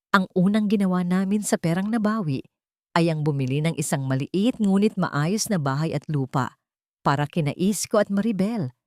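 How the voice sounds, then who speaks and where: Lower-pitched woman narrating a dramatic story. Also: a little mellow, dry recording, studio quality. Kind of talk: dramatic first-person scripted storytelling.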